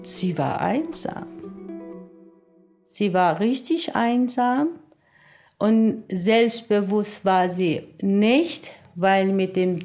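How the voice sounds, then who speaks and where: Very slow-speaking, mellow-voiced woman. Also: medium pitch. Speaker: interviewee retelling a film story. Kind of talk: unscripted reflective retelling.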